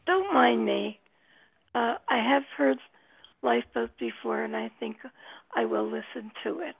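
A woman chatting casually in a creaky, husky voice over a thin phone-like line. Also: on the high side, unhurried. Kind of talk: casual chat.

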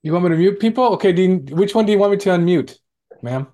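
A man co-hosting an informal show, chatting casually in a halting way and often repeating his words. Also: high-pitched, expressive, warm tone. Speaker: co-host running the muting. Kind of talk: casual chat.

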